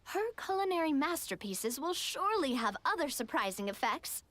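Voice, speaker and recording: A woman voicing a small, excitable, childlike companion in a video game, squeaky and perky. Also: normal speed, no echo. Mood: enthusiastic explaining.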